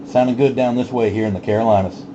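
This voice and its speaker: A man's voice: mid-range voice, steady tone, fast talker. A man talking casually and laid-back in CB radio lingo, as an operator hosting a channel night. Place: home base radio station. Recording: noisy, dull CB radio audio with static hum.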